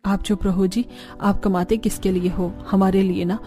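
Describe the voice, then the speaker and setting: A woman plays a bossy, dismissive wife and mother-in-law in a family cartoon. Her tone is dark and mellow at a medium pitch, over music. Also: level tone, normal speed, lightly acted. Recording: background music under the voice.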